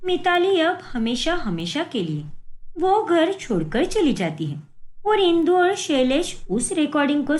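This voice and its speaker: A woman narrating a scripted cartoon story. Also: high-pitched.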